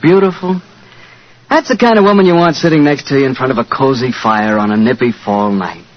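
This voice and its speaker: A man working as a radio announcer, chatting in a folksy way as he pitches the sponsor. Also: a little fast. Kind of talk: chatty 1940s radio advertising. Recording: dull vintage radio recording.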